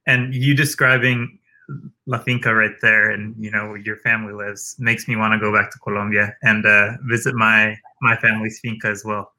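Easygoing male interviewer, bright voice. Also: on the low side, flat delivery, normal speed, poor audio. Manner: easygoing, backchannels with "mm-hmm", light laughs. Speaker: interviewer, host. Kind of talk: casual conversational interview.

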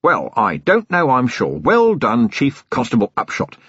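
A man doing a gruff, sardonic police commander. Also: medium pitch, huge pitch swings, unhurried, fairly bright tone, dry recording, highs cut off, voice pushed up, heavily acted. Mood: hearty. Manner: stern, menacing, dryly sarcastic. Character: tough gruff middle-aged male police commander.

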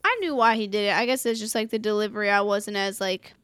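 A woman co-hosting a casual anime recap chat, expressive and blunt, swearing freely in slangy talk. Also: mid-range voice, fast talker, clear, resonant tone, no room sound. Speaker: podcast co-host recapping an anime.

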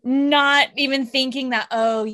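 A woman's voice: high-pitched, fairly even intonation, a little slow, pushed and bright, slight background noise. A woman co-hosting a podcast in casual chat, easygoing and agreeable, with light jokes. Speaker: podcast co-host.

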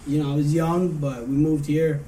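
A man's voice: on the high side, little pitch movement, normal speed, warm tone, far from the mic, a little hiss or hum, rough recording. A man in his thirties with an American accent, giving casual, conversational answers as an interview guest. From Michigan, United States. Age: 30s. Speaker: interview guest, restaurant manager.

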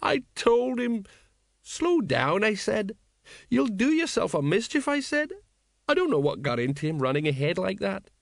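A man's voice: a little high, a little fast, few pauses, no echo, clean studio sound, pitched above the performer's own voice, lightly acted. A man voicing a wistful, miserable older watch sergeant who rambles through his memories in an audiobook. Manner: miserable, rambling working-class storyteller. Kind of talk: audiobook character dialogue.

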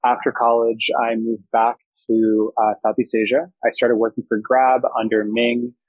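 Young man, founder guest, husky voice, flat delivery, dry muffled sound. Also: mid-range voice, normal speed, no room sound. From Thailand. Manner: fluent, reflective, business jargon. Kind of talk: interview answers.

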